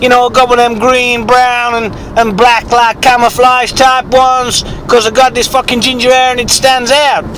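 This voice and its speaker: A man voicing an eccentric, foul-mouthed rustic bigfoot hunter, husky with a sore throat, telling a halting, rambling, repetitive mock-documentary tale. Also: very high voice, little pitch movement, fairly bright tone, a little hiss or hum, low-quality recording. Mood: suspenseful, bewildered.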